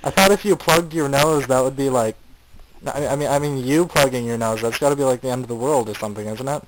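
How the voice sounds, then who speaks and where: A man's voice: mid-range voice, fast talker, low-quality recording. A man co-hosting a casual chat, teasing his partner with dry sarcasm. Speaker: co-host.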